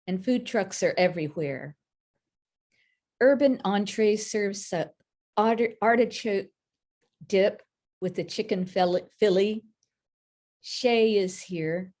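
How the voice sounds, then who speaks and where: A woman from the United States reads her own poem aloud in a mid-pitched voice. She takes it slowly, line by line. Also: darkish tone. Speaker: poet. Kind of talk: poetry reading.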